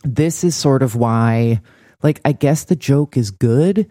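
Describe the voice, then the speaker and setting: A man's voice: mid-range voice, slow, mellow, no echo, clean studio sound. A man co-hosting a casual recap discussion in a wry, critical way. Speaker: podcast co-host.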